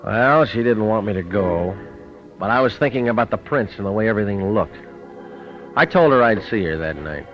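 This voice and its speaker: An American man playing a tough, wisecracking private detective in an old radio drama, terse, deadpan and sardonic. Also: on the low side, normal speed, close to an everyday voice. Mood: weary narrating. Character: tough wisecracking male private detective, hard-boiled and cool. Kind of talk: old-time radio detective acting with first-person narration. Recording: dull vintage radio recording with music.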